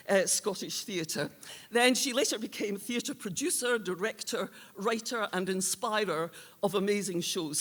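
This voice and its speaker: A woman presenting awards in an unscripted speech, hesitant and often searching for words, in a large echoey indoor venue. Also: mid-range voice. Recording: reverberant hall sound.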